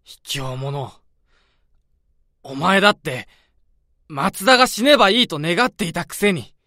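An actor playing a cornered, resentful young junior office worker in a radio drama, speaking coldly and then spitefully. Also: male voice, high-pitched, sing-song, measured pace, pushed and bright, no room sound, studio quality, heavily acted. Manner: cold, then spiteful and accusing.

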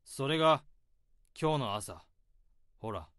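An overworked office worker who narrates and solves a case in a radio drama. He speaks in a reflective, measured way, and his voice rises and falls with feeling. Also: mid-range voice, animated, a bit bright, no echo, clean studio sound. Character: weary overworked male office worker who plays detective.

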